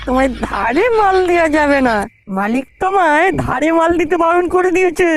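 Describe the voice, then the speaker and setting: Woman doing a high, scornful cartoon barman. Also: fairly quick, faint music behind. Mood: scornful refusal. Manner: mocking, firm, sharp-tongued. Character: scornful, stubborn young male liquor-shop worker. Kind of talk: comic cartoon voice acting.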